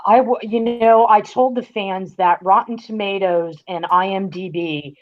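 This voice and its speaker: A woman's voice: mid-range voice, measured pace. A woman speaking casually as a guest commentator, over a muffled connection. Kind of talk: casual chat.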